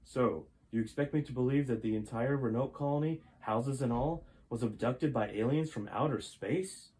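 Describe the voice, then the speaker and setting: A man's voice: medium pitch, moderate pace, a little mellow, natural voice, rough recording. A man reading the part of a skeptical, wry adult man who is a father.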